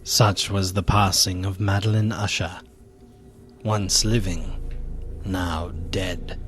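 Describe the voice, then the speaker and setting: A grave gentleman haunted by horror in a melodramatic gothic radio drama. He speaks slowly and solemnly, weighting his words with ominous dread, over faint music. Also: sits low, little pitch movement. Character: grave, horrified gentleman friend recounting a gothic tale.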